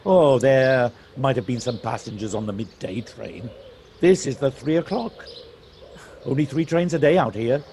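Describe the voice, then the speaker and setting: A man's voice: medium pitch, normal speed, warm tone, natural voice. Friendly countryman actor, faint background noise. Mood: friendly, teasing. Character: friendly Welsh countryman, chatty driver fond of hills. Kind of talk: audio play acting. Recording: home device recording, light background noise. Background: birdsong.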